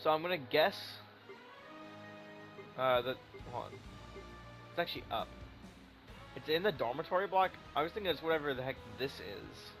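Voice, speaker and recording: A man's voice: on the high side, expressive, moderate pace, pauses often, fairly bright tone, soft background music. Casual male gamer thinking aloud. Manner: informal, swearing, thinking aloud. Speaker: video game streamer. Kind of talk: casual gameplay commentary.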